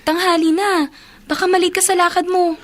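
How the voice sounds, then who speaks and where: An actress in a Filipino radio drama playing a dutiful, hardworking young student niece, respectful and eager. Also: female voice, very high voice, little pitch movement, a little fast, a little hiss or hum. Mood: surprised concern. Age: young adult.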